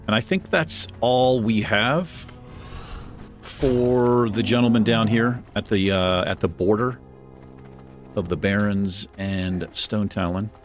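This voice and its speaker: Deep-voiced rambling gaming host. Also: male voice, takes it slowly, soft background music. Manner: rambling, self-deprecating chatter. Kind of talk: casual gameplay commentary. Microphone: desk microphone.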